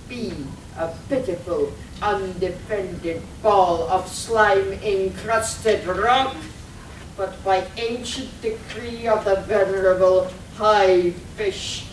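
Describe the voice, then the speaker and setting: A woman performs a mock-serious announcer for a radio serial. The narration is slow, portentous and theatrical. It sounds distant in an echoey room, with faint noise. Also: medium pitch, close to an everyday voice. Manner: portentous, deliberate. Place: live performance at a convention, distant mic. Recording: echoey, distant live hall recording with noise.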